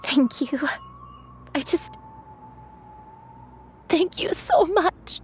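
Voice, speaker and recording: A woman voicing a gentle elderly grandmother, a crane in human form, speaking tenderly in a game cutscene. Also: very high voice, expressive, slight background noise, heavily acted. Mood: grateful. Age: older. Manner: tender, slow and soothing.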